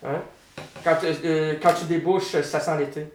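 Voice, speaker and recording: A man hosting a casual radio interview, chatty, playful and quick to laugh. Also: on the high side, steady tone, a loud crowd. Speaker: radio host. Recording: roomy and distant from the mic.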